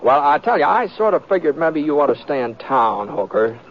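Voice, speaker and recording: Reluctant cowboy gunman, modest, folksy, halting drawl, creaky voice, 1950s radio drama, noisy dull recording. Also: a little high, sing-song. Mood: mild, hesitant. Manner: hesitant, folksy drawl with mumbled pauses. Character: easygoing western drifter and reluctant gunman, laconic, modest. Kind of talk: 1950s radio western acting and first-person narration. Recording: muffled, noisy, crackly old radio recording.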